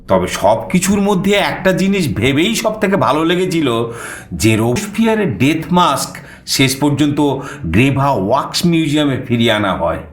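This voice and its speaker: A man narrating a suspense story in the first person with expressive, dramatized delivery. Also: mid-range voice, roomy sound.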